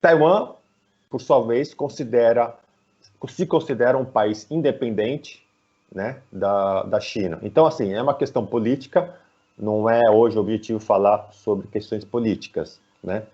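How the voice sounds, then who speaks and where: A man with a Brazilian Portuguese accent explaining things conversationally as an interview guest, halting and often adding little tag questions, on dull online call audio. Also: lowish voice, dark tone, husky. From São Paulo, Brazil. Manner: halting, frequently tagging phrases with "né".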